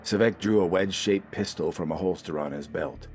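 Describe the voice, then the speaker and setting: A man's voice: low-pitched, brisk, darkish tone, faint music behind. A man reading scripted, dramatic fiction as an audiobook narrator.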